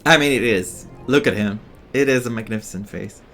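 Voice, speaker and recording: A man's voice: lowish voice, a little fast, a bit bright, faint music behind. A man giving casual, amused, reactive commentary as he plays through a video game. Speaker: gaming streamer.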